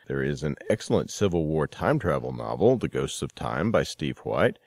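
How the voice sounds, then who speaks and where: A man's voice: extremely low pitch, very animated, moderate pace, gravelly, a little mellow, dry recording, studio quality. A man hosting a podcast, reading promotional announcements and introductions. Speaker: podcast host.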